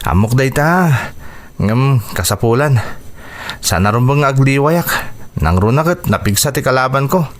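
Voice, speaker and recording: Man acting a determined boxer, lively swooping delivery. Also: medium pitch, unhurried, natural voice. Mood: earnest, determined. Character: determined, hardworking male boxer in training. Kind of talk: Ilocano radio drama acting. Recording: radio drama production.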